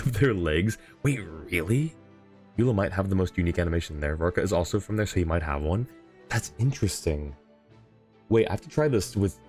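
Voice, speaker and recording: A man chatting casually as a game streamer, giving relaxed livestream commentary. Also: sits low. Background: game music.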